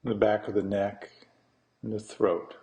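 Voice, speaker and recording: Male meditation teacher, lowish voice, unhurried guided-practice delivery with deliberate pauses. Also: flat delivery, vocal fry, dark tone. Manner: slow, deliberate, with long pauses between phrases.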